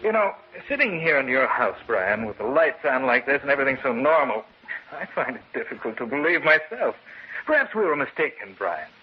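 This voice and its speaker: A man's voice: medium pitch, big pitch swings, brisk, natural voice. Actor as earnest, uneasy vintage radio leading man. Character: uneasy man narrating his own doomed horror story. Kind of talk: old radio horror acting and narration. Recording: muffled, noisy old radio recording.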